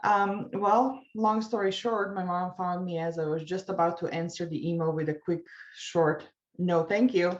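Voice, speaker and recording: A woman from Slovenia with a Slovenian accent, a guest talking casually in an interview, chatty and quick to laugh. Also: lowish voice, fairly even intonation, highs cut off. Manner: chatty, laughs easily, goes off on tangents. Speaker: guest, life coach.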